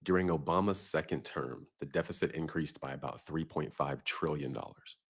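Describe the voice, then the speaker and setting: Male narrator, calm, very deep and husky. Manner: calm, measured rebuttal. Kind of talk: commentary narration in a video clip.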